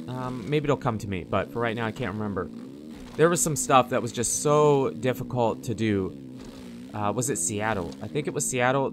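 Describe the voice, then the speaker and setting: A man giving casual, rambling off-the-cuff commentary as host of a gaming video, in a conversational way. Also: mid-range voice. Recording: game engine noise behind the voice. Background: motocross bike engine sounds from game.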